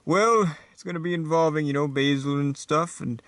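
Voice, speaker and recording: A man's voice: on the high side, normal speed, a bit bright. A man voicing a self-assured, casual king who chats and explains as a guest on a mock talk show. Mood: chatty. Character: pompous male king guest, cool and chatty.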